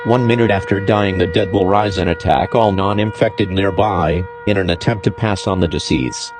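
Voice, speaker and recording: Low-pitched man reading emergency alerts. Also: moderate pace, music in the background. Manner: formal, terse official delivery. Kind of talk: scripted emergency broadcast announcement.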